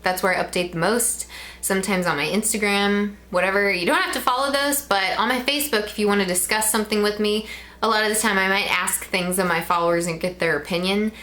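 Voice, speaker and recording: A woman's voice: medium pitch, brisk, sharp tone. A woman in her twenties with a Midwestern American accent, chatting casually to camera in a rambling way with frequent ums. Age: 20s. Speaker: vlogger.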